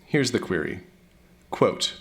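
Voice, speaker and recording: A man's voice: lowish voice, animated, slow pace, fairly bright tone. A man playing a sheepish, nerdy hacker in an audio drama, hesitant and self-justifying. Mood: brooding. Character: sheepish, nerdy male hacker, brooding digital security veteran.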